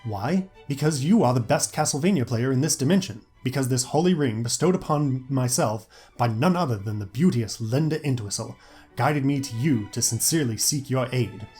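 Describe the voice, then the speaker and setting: A man's voice: mid-range voice, lively intonation, moderate pace, darkish tone, close to an everyday voice. A man voicing an earnest, formal vampire-hunting hero with a foreign accent in an audio story with music behind. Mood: solemn. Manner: solemn, stilted, archaic courtesy. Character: earnest tall heroic vampire hunter, formal and desperate.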